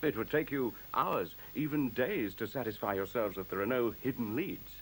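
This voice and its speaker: A man's voice: mid-range voice, normal speed, natural voice. A man acting in a radio play as an urbane, mischievous intelligent computer, speaking formally and articulately in a teasing way. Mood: composed, assured. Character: witty, urbane male talking intelligent computer. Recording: faint hiss on radio play recording.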